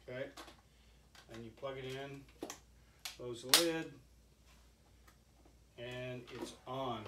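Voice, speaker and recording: An older man giving a halting, folksy, informal product demonstration, like an inventor showing off his own gadget. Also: mid-range voice. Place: indoor cluttered home workshop. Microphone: camera mic a few steps away. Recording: echoey workshop with background noise.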